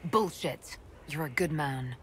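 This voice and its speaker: An actress playing a steady, caring female leader in a video game, speaking in a direct, firm way. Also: deep voice, slow, slight background noise. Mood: firm reassurance. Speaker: Inquisition leader.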